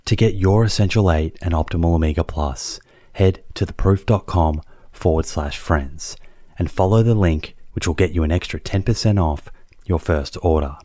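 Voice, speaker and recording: Deep-voiced podcast host. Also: male voice, dark tone, no echo. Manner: smooth, measured ad reading; repeats words when chatting. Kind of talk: ad reads and interview chat. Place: his own podcast studio.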